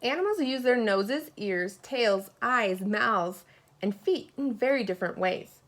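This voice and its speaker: A woman teacher reading a children's picture book aloud expressively, pausing to put questions and asides to her young listeners. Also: a little high, expressive.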